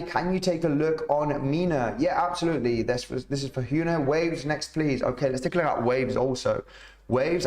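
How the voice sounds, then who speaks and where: A man streaming live chart analysis, chatty and rambling, reading out viewer comments, his voice slightly rough. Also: a little high, a little mellow. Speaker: crypto market analyst streamer. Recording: roomy echo, faint music behind.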